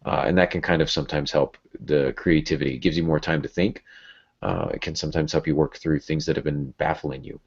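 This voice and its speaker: A man hosting a casual podcast, talking in a rambling, hesitant way with a gently joking touch. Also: a bass voice, brisk, slightly rough. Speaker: podcast host. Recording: dull, lo-fi podcast audio.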